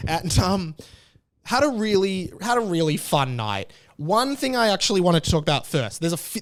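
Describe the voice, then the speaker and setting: A young Australian man hosting his own podcast, chatting casually with a chatty, self-deprecating manner. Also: fairly high pitch. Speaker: podcast host. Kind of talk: casual solo podcast chat.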